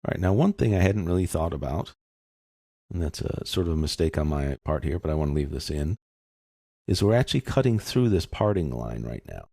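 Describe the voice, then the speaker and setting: A man giving an informal step-by-step software tutorial, explaining casually as he thinks aloud. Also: extremely low pitch, animated, brisk, gravelly, no room sound, studio quality.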